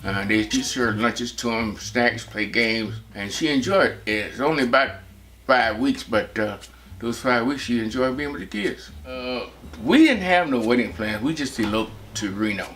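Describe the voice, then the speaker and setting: A man in his seventies from Texas, recalling his family life in a relaxed, rambling way with many pauses. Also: medium pitch, slight background noise. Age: 70s. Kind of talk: personal reminiscence.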